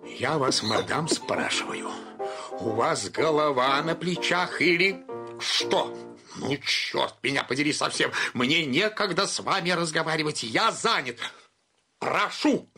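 Radio play as an irritable male clerk, theatrical and exasperated, fairly high voice over clear music. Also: lively intonation, measured pace. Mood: irritated. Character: irritable, overworked male bank clerk at breaking point. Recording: music behind the voice.

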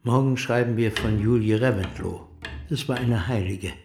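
An actor playing an eccentric old count, solemn and musing, in a radio drama. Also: male voice, lowish voice, vocal fry, over music. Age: older. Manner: slow, cryptic, musing.

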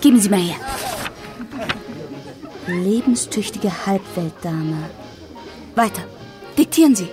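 A woman's voice: medium pitch, expressive, takes it slowly, pauses often. An actress playing a bossy, assertive woman at a party in a theatrical radio drama. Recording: radio play party, music, crowd.

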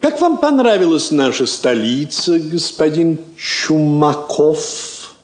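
A man playing an effusive, courtly foreign counsellor in a Soviet radio drama, with a florid, drawn-out, ceremonious manner. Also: fairly high pitch, lively intonation, a little mellow. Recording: roomy, echoing studio sound.

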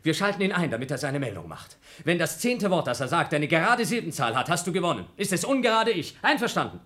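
A man's voice: fairly high pitch, big pitch swings, quick pace. A man playing a scheming man trapped in a station, persuasive and frantic by turns, in a radio play. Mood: coaxing. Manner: persuasive, then frantic shouting.

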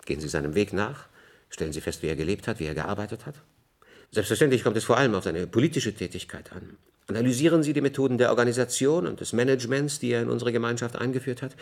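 A man's voice: mid-range voice, fast, vocal fry. A man playing a formal, paternal senior official of an underground survivor community in a science-fiction radio drama. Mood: official, earnest.

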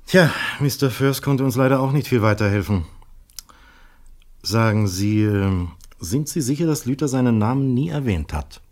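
A man acting in a 1970s German radio crime drama as a shrewd, courteous Dutch police inspector, formal and measured. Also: mid-range voice, expressive, a little mellow, lightly acted. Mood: smooth, courteous.

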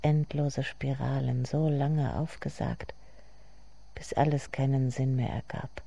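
Inner voice for radio drama: hushed, brooding, incantatory, dark with gravelly fry. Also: medium pitch, level tone, takes it slowly, heavily acted. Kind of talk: poetic radio drama monologue.

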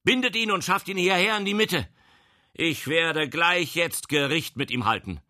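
A man voicing a pompous, excitable, self-important village magistrate in a storybook, full of indignation. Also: fairly low pitch, drawn-out words, husky, dry recording, studio quality, exaggerated voice. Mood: indignant, blustering.

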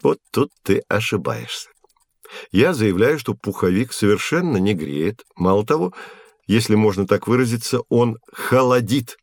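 Patient physics professor, dry studio sound. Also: male voice, on the low side, animated, normal speed, no echo. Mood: patiently explaining. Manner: didactic, clearly explanatory. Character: patient male physics professor demonstrating experiments to children. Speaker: actor in an educational audiobook. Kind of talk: scripted audio drama dialogue, explanatory.

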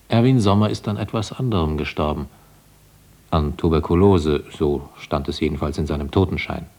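Grave, ponderous lawyer-narrator, low register, rhetorical and earnest, 1950s radio play. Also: male voice, a little mellow. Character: earnest male lawyer musing over his dead client.

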